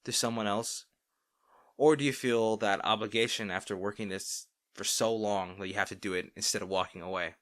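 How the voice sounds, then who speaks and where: A man's voice: on the low side, steady tone, brisk, a bit bright, natural voice. A man playing a caring, worried boyfriend in an intimate roleplay audio drama, earnest and pleading. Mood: concerned, serious. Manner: earnest, pleading, emphatic, with sighs.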